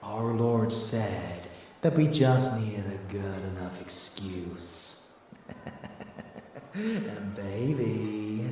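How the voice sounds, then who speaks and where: Man acting a slow, murmuring vampire lover, roomy echo. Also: mid-range voice, a little mellow, far from the mic, a little hiss or hum. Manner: slow, intimate murmuring, dropping to whispers. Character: seductive, predatory male vampire lover plotting slaughter. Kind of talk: ASMR audio roleplay.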